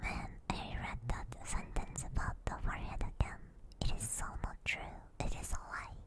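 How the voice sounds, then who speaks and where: A woman streamer whispering breathily in a soothing, gentle way, chatting casually in ASMR style close to a binaural microphone. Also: normal speed. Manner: soothing, gentle personal attention. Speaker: ASMR streamer hosting a live chat. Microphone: close binaural stereo microphone.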